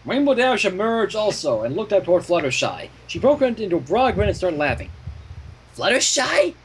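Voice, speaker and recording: Animated higher-pitched man reading a story aloud. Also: fairly quick, slight background noise, low-quality recording. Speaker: fan fiction reader. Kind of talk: expressive story narration with casual asides.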